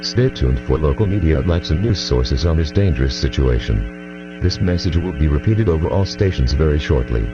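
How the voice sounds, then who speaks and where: A man's voice: a bass voice, lively intonation. A man playing a grave emergency alert announcer, reading a scripted broadcast formally and steadily, in dull audio over music. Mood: grave, official. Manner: formal, measured.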